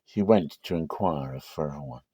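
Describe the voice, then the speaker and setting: Male scripture narrator, dark soft-edged tone, measured and solemn delivery, close dry sound. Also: a bass voice, husky, no echo. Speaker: Bible reader.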